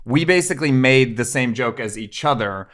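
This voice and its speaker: A man's voice: medium pitch, lively intonation, moderate pace, fairly bright tone. A man chatting casually as a podcast guest, a comedian with a self-deprecating way of talking. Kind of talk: casual chat.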